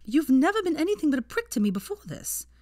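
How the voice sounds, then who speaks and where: Lady playing a proud angry young witch in a storybook, high voice, snappy and sneering, swings all over. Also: very animated, quick pace, dark tone, dry recording, clean studio sound. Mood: angry, snapping. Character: indignant, combative young witch, sharp-tongued and proud.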